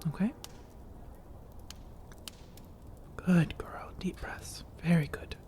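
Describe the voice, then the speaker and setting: A woman playing a seductive, teasing vampire roommate in soft-spoken ASMR roleplay, coaxing and reassuring, often drifting into whispers. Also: lowish voice, a little slow, close to an everyday voice. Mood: calm, reassuring.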